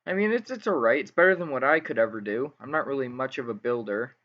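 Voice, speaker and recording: A man's voice: mid-range voice, lively intonation, fast, fairly bright tone. A man hosting a casual gaming interview, speaking in a hesitant, rambling way. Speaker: interviewer, gaming channel host. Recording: dull lo-fi voice chat.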